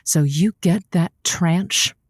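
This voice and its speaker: A woman's voice: on the low side, slow, slightly rough, dry recording, clean studio sound, full character voice. A woman playing a feisty, teasing host of a comedic call-in radio show. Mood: playful, breezy.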